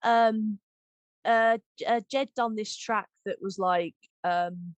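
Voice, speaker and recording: A woman chatting casually and informally, with an animated, giggly manner, as she hosts a podcast. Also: medium pitch, takes it slowly, no room sound, highs cut off. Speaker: podcast host, audio drama director. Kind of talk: casual chat, behind-the-scenes discussion.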